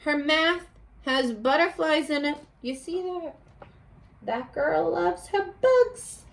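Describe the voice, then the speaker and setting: A woman reading aloud to young children like a teacher, expressive and exclamatory, pausing to coax them with questions. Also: sits high, vocal fry. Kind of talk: animated children's read-aloud with questions to listeners.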